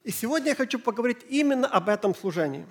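A middle-aged man, Ukrainian his first language, preaching a church sermon in short phrases with pauses for an interpreter. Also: very high-pitched, animated. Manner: slow, halting German, pausing phrase by phrase. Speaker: pastor.

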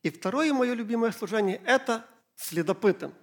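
A middle-aged man, Ukrainian his first language, preaching a church sermon in short phrases with pauses for an interpreter. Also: very high-pitched, lively intonation. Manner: slow, halting German, pausing phrase by phrase. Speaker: pastor.

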